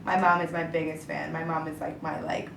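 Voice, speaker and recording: A woman's voice: sits low, flat delivery, fairly quick, creaky, fairly bright tone, far from the mic, a little hiss or hum. A young woman from Brooklyn, a singer-songwriter, chatting casually as an interview guest and filling her sentences with "like". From Brooklyn, New York, USA. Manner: chatty, fills every thought with "like". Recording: echoey room.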